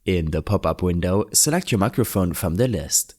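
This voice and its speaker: A man narrating a scripted step-by-step software tutorial in an orderly, plainly worded, instructional manner. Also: low voice, lively intonation, normal speed. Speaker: tutorial host.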